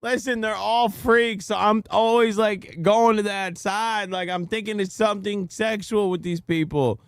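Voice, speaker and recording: A man's voice: high-pitched, steady tone, a little slow, smooth, no room sound. A man streaming a reaction video, chatting casually and crudely while joking and laughing along.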